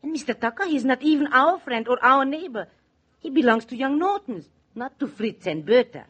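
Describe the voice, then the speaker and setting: An actress playing a bossy but soft-hearted immigrant wife and cook with a German accent and emphatic, stilted English, in 1940s radio drama style. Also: female voice, high voice, expressive, moderate pace, slightly rough, full character voice. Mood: worried, self-reproaching.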